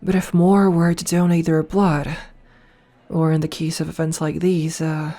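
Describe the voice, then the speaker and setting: A voice actress playing a dominant, flirtatious noble vampire princess in a soft, slow ASMR roleplay, recorded close to the mic. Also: female voice, sits low, level tone. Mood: musing. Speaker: voice actor. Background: faint music.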